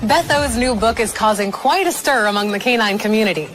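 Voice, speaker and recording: A woman's voice: on the high side, animated, brisk, a bit bright. A woman presenting a show with scripted links and banter. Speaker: show host.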